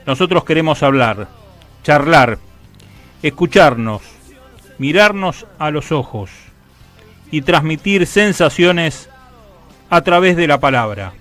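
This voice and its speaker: A man's voice: a little high, fairly bright tone. A man with an Argentine Rioplatense accent, hosting a conversational radio interview. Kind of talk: scripted radio intro and casual conversational interview.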